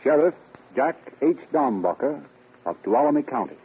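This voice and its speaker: A man's voice: mid-range voice, big pitch swings, a little slow, husky. A man announcing and narrating a radio drama in scripted 1930s broadcast style. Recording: tinny vintage radio recording, music behind. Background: music.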